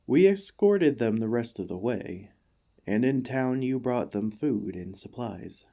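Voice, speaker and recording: A man playing an emotional, excitable warforged ex-gladiator in an ASMR roleplay, gentle and devoted, with earnest, formal, halting speech. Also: mid-range voice, gravelly. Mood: earnest, admiring.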